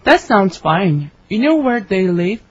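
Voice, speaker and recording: A woman reading her part in a scripted English conversation for learners, with clear, careful delivery. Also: medium pitch, sing-song, a little slow, no room sound. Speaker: dialogue reader for English learners. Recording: dry, slightly dull studio recording.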